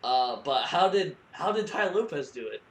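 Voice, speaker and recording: A man making a prank call in a halting, awkward, deadpan and naive-sounding conversational manner. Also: a little high. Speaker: prank caller. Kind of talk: casual improvised phone conversation. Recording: roomy echo with faint background noise.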